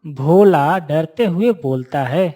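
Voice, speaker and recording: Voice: medium pitch, slow pace, dark tone, soft background music. A narrator telling a scripted moral story in storytelling style.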